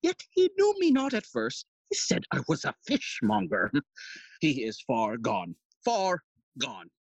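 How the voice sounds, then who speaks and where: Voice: mid-range voice, wildly swooping pitch, takes it slowly, gravelly, dry recording, highs cut off, heavily acted. An actor playing a fussy, long-winded old male counsellor in an audio play, theatrical and forever interrupting their own speech. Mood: puzzled, scheming. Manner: rambling, theatrical, self-interrupting. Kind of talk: Shakespearean stage acting in audio play.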